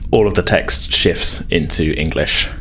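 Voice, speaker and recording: A man's voice: extremely low pitch, measured pace, a bit bright, slight background noise. A man narrating a tabletop game as game master, improvising and searching for words.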